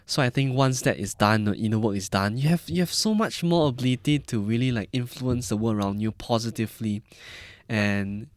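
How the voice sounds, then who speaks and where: A man's voice: mid-range voice, fairly quick, dry recording, clean studio sound. A man in his early twenties with a Malaysian-Singaporean accent, telling personal stories as a podcast guest. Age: early 20s. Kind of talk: personal storytelling in conversation.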